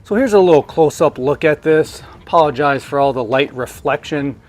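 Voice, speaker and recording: A man's voice: a little high, moderate pace. A man giving a casual, hands-on unboxing review in a conversational way, thinking aloud as he goes. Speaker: product reviewer.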